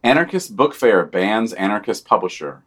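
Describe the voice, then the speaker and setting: Male podcast co-host, slightly low pitch, wry delivery of scripted news commentary. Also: animated, normal speed, a bit bright, slightly rough. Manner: wry, sardonic.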